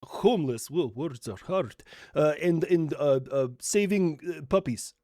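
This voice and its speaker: A man playing a blustering national leader in a comedic political roleplay, halting and stumbling over his words. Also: on the high side, animated, dark tone, no room sound, clean studio sound. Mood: bumbling.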